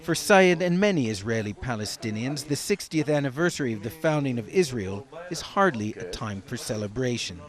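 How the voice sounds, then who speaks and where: A man narrating a news report as correspondent, with a measured, even broadcast delivery. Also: mid-range voice.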